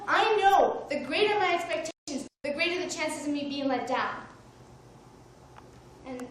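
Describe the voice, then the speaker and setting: A woman from Mexico delivers a wry, self-mocking stage monologue in a fairly high voice. She is caught from far off by a room mic, with heavy echo and clear noise around her, like a live theater recording. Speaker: solo stage performer. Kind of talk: one-woman comedy show monologue.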